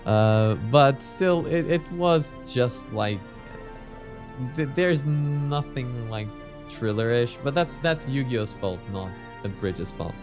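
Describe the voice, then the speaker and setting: A man hosting a reaction video, commenting casually and thinking out loud in a halting, hesitant way. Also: mid-range voice, expressive, over music.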